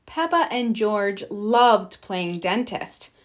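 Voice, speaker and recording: A woman reading a children's storybook aloud in an expressive, deliberate storytelling style. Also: mid-range voice. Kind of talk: read-aloud scripted narration.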